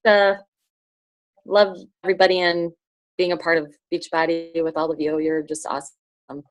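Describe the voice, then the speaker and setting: A woman sharing with her team on a video call, speaking softly and haltingly with many fillers. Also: deep voice, flat delivery, no echo, low-quality recording.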